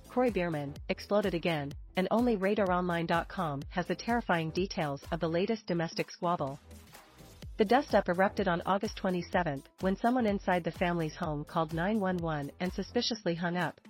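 A woman serves as narrator for a celebrity news report, reading from a script in tabloid style. Her pitch sits somewhat low, and soft music plays behind her. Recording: music bed under the voice. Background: background music.

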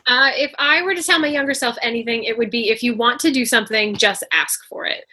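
A woman's voice: on the high side, a little fast, bright, forceful tone, husky. A young adult woman giving casual answers as a podcast guest. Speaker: podcast guest, physical therapy student.

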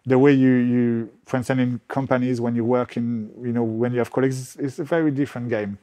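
French-accented man, halting speech. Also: mid-range voice, fairly even intonation, warm tone. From France. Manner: halting, many restarts and fillers. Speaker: guest, real estate agent. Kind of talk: conversational interview.

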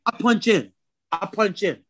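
A man's voice: high voice, expressive, measured pace, fairly bright tone, no room sound, studio quality. A man talking casually as an interview guest, using lots of slang and tags like "man" and "you know what I'm saying".

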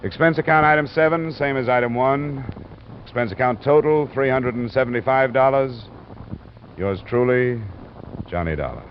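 A man's voice: medium pitch, moderate pace, a little hiss or hum, close to an everyday voice. An American man playing a tough, world-weary insurance investigator in an old radio detective drama, speaking in a clipped, dry way. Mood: brisk reporting. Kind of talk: 1940s-50s American radio acting, hardboiled narration and dialogue. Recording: muffled vintage radio recording, music behind.

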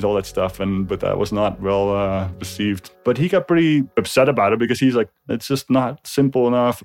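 A man with a fairly low voice talks as an interview guest, casually recalling his work. His phrasing is loose and conversational, and faint music plays underneath. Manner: informal, loosely phrased, often quoting dialogue. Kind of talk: casual spoken recollection.

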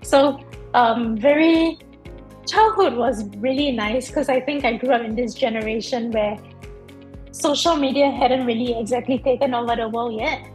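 Young woman, thoughtful and higher-voiced. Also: moderate pace, faint music behind, poor audio. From Singapore. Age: young adult. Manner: thoughtful, hesitant with fillers. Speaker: Paralympic swimmer, guest. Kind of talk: casual interview answers.

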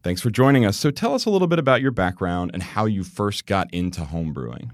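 A man's voice: low voice, sing-song, fast talker, dry recording, clean studio sound. A man acting as a podcast interviewer and host, addressing listeners and his guest. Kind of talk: podcast interview with prepared introduction.

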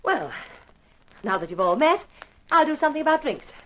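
An actress playing a cool, self-possessed widow in a 1950s radio drama, speaking in a composed, formal, curt manner. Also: female voice, sits high, very animated, normal speed, fairly bright tone. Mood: polite hostess.

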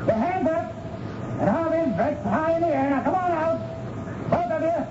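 A man's voice: very high-pitched, expressive, moderate pace. An actor playing a stern lawman calling out orders in a radio drama. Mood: stern, commanding. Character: stern lawman shouting orders at cornered criminals. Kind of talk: 1970s American radio drama acting. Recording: echoey, muffled, noisy radio broadcast.

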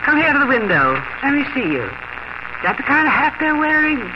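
A woman's voice: high-pitched, sing-song, a little fast, noisy background. An actress playing a sentimental, chatty middle-aged mother who is cleaning out her attic, in a 1950 radio drama. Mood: fond. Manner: chatty and sentimental, talking to herself. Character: fond, fussy small-town mother cleaning the attic. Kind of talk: 1950s radio drama acting. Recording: dull lo-fi 1950 radio broadcast.

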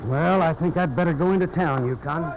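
A man's voice: fairly high pitch, a little fast, lightly acted. An actor playing a tough, determined American man turned champion of settlers in a 1950s radio drama, intense and earnest. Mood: grave. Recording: muffled, hissy old radio broadcast.

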